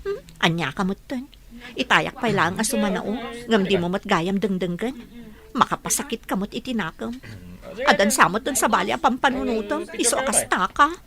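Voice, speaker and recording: A woman's voice: medium pitch, lively intonation, fairly quick, natural voice. An actress playing a playful, affectionate young girlfriend who teases and giggles, with crowd noise behind her. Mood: teasing. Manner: teasing, giggly. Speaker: radio drama actor. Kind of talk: radio drama acting. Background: park crowd.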